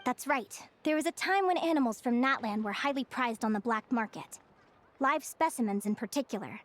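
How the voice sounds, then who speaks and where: Woman as high-voiced earnest young naturalist. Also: lively intonation, normal speed, close to an everyday voice. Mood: concerned. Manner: articulate, explanatory, earnest. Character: young female naturalist, earnest, curious, gentle animal lover. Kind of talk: scripted video game character dialogue.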